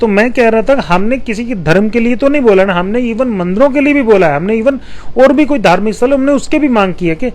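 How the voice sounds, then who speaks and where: A male councillor, answering a reporter's questions in a measured, explanatory way with frequent restarts. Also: very high voice, lively intonation. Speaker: municipal councillor. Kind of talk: press interview answers.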